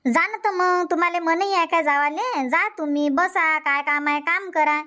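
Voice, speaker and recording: A woman's voice: very high voice, moderate pace, bright, forceful tone. A woman voicing a nagging, aggrieved village housewife in a comedy cartoon, scolding and complaining repeatedly in a Varhadi Marathi accent. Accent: Varhadi Marathi (Vidarbha).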